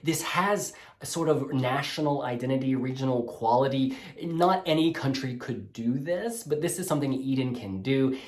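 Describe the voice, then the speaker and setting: A man's voice: medium pitch, moderate pace. A man hosting a music blog video, gushing and sassy as he casually reviews song demos. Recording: roomy echoey room.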